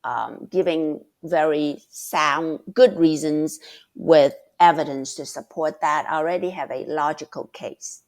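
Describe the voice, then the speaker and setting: Passionate woman guest with a loud voice. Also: deep voice, a little slow. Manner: passionate, emphatic, restarts often. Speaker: guest mentor. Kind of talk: conversational interview.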